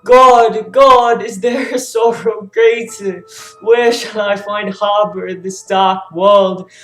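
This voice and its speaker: A fallen, blinded king of Greek tragedy, anguished and cursing his lot, acted by a woman. The delivery is slow and theatrical, in an echoing space with faint music underneath. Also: mid-range voice, fairly even intonation, a bit bright. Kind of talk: dramatic classical tragedy reading.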